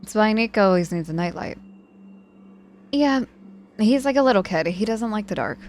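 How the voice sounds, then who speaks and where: A woman acting a proud, guarded young witch hurt in hospital, halting and grudgingly tender, in an intimate ASMR audio roleplay. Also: medium pitch, lively intonation, darkish tone, slight background noise, natural voice. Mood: quiet, confiding.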